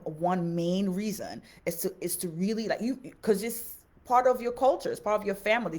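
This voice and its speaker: A woman from Haiti, a native Haitian Creole speaker, giving casual advice as a teacher on a live stream, earnest and repeating phrases for emphasis. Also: fairly low pitch.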